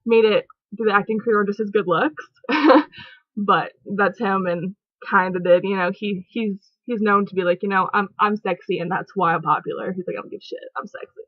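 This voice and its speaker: A woman's voice: mid-range voice, fairly quick, no echo. A chatty young woman rambles on about a movie for her home vlog, laughing easily and stringing thoughts together with fillers. Her voice sits in a middle range. The sound is close and dry but dull, like a cheap home setup. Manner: chatty, giggly, lots of "like" and "um". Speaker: vlogger. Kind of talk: casual movie review chat.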